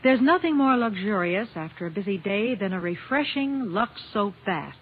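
A woman's voice: medium pitch, animated, normal speed. A woman guest commentator giving scripted promotional talk in a 1950s radio style. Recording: muffled, noisy old radio broadcast.

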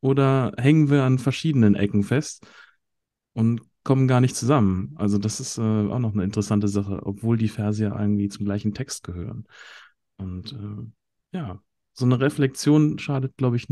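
A man in a casual panel discussion, talking haltingly and repeating words as he searches for them. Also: lowish voice, mellow, no echo, clean studio sound. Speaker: podcast panelist.

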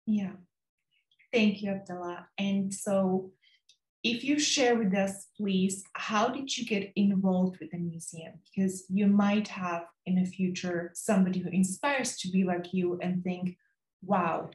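Brief: thirties woman interviewer, mid-pitched, gracious, laptop mic in an echoey home room. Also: fairly even intonation, unhurried. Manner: warm, gracious, gives short affirmations. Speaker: interviewer host. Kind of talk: video call interview. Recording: roomy video call audio.

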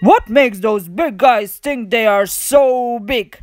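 A woman voicing an earnest, indignant male elephant who protects his friend, read from a children's storybook in a deliberate, emphatic way. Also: on the high side, over music.

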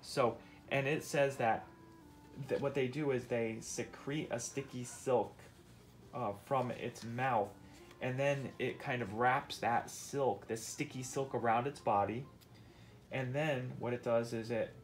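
A man's voice: mid-range voice, fairly even intonation, measured pace, faint music behind. A middle-aged man, a father patiently reading a nonfiction picture book aloud and explaining it as he goes. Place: indoors on a couch at home.

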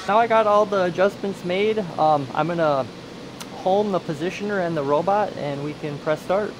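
A man's voice: high-pitched, normal speed, noisy background. A man working as a welding robot operator, talking casually in a large industrial shop, heard from the camera at a distance. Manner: brief, laid-back replies.

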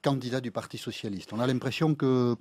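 A man, working as a political interviewer on radio, asking formal, challenging questions in a measured, sceptical manner. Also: mid-range voice, a little mellow. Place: radio studio.

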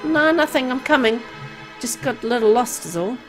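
A woman's voice: on the high side, expressive, moderate pace, soft background music, voice pushed up, natural voice, low-quality recording. A woman voicing a young game heroine who is wary, a little exasperated and awkward, but kind. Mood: reassuring.

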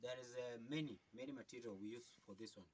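A man's voice: mid-range voice, fast talker, noisy background. A middle-aged man playing a worker in an improvised comic chat, talking in earnest, repetitive broken English outdoors in a construction yard. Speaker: employee in a comedy sketch.

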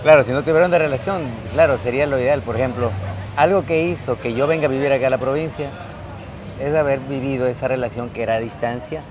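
A man's voice: medium pitch, fast, noisy background. A married man answering a street interview in an agreeable way.

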